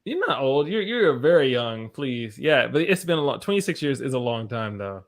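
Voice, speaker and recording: A man's voice: medium pitch, very animated, moderate pace. An American man hosting a casual livestream interview. His voice sits in the middle range, and he talks in an easygoing, encouraging way, often repeating his phrases. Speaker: host.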